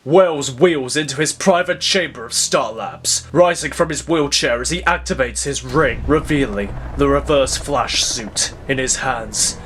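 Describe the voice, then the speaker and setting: A man's voice: medium pitch, a little slow, few pauses, fairly bright tone, a little hiss or hum. A man narrating a scripted superhero audio drama in a dramatic style.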